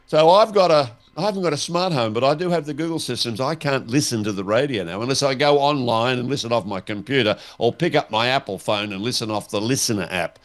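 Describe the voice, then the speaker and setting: A man's voice: mid-range voice, quick pace, few pauses. An Australian man hosting a casual question-and-answer chat, with a wry, teasing way of talking. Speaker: host putting listener questions. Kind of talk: casual radio-style chat.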